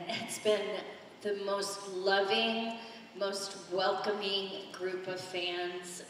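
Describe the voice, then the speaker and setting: A woman's voice: medium pitch, slight background noise. A woman voice actress on a convention panel, telling stories animatedly and acting out her own quotes. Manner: animated storytelling, quotes herself. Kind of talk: casual panel talk. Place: large convention hall. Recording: echoey convention hall, far from mic.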